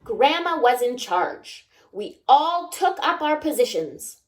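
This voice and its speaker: Woman, higher-pitched voice, very lively intonation, unhurried, children's story time reader, expressive. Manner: expressive, asks child listeners simple questions. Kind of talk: read-aloud children's picture book narration.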